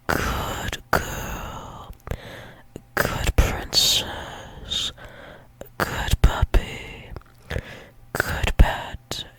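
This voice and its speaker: A woman's voice: fairly high pitch, mellow. A woman playing a dominant, doting female alpha werewolf demon in an intimate ASMR roleplay, coaxing and soothing, often dropping into whispers.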